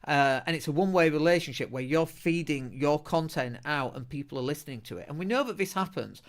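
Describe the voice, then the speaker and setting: A man's voice: fairly high pitch, fairly quick. A middle-aged man with a Leeds accent hosting a solo podcast in a chatty, blunt way, with self-mocking humour. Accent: Northern English, Leeds. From England. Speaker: podcast host. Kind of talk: casual solo podcast talk.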